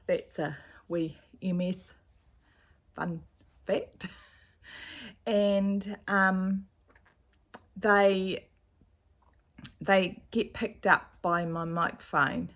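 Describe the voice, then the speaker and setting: A middle-aged woman with a slightly croaky voice, chatting casually at home about her cross-stitch projects with a rambling, chatty manner, recorded on a camera's built-in mic. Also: medium pitch, steady tone, extremely slow, long pauses, a bit bright. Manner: chatty, rambling, with fillers and asides. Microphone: camera's built-in mic, no external microphone.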